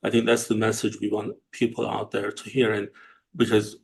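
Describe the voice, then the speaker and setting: A man from Turkey, hosting an interview in a casual, halting way with many fillers and restarts. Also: lowish voice, completely flat delivery, creaky, a little hiss or hum. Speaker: host and company CEO.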